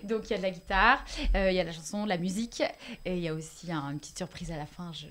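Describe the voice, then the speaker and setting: A woman's voice: on the low side, steady tone, rapid-fire, fairly bright tone. Brief: young female comedian guest, casual and chatty, laughs between phrases, often restarts her sentences. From west of Lyon, France. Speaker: comedian guest promoting her stage show. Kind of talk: casual interview chat.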